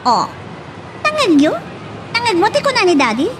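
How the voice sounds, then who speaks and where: A woman's voice: extremely high pitch, wildly swooping pitch, moderate pace, noisy background, exaggerated voice. A woman playing a playful, eager, teasing young daughter in an Ilocano radio drama.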